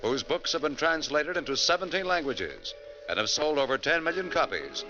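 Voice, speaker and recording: A man's voice: a little high, big pitch swings, moderate pace, sharp tone. A man announcing a 1940s radio program in a grand, formal style. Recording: dull vintage radio recording, music behind.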